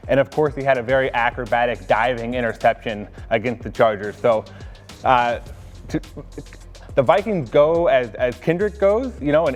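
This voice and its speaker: A man working as a team reporter, giving conversational sports analysis. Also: medium pitch.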